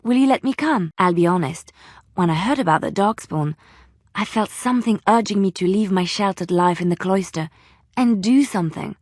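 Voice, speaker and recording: A woman's voice: medium pitch, moderate pace, a little mellow, no room sound, clean studio sound, lightly acted. An actress voicing a devout, playful young woman adventurer in a video game, sincere and chatty in manner. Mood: earnest, pleading. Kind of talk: video game character dialogue.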